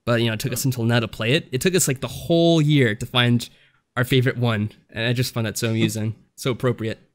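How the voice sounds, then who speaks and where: A man's voice: medium pitch, fairly even intonation, fast. A man chatting casually as co-host of a review podcast, giving his opinions in everyday conversational speech.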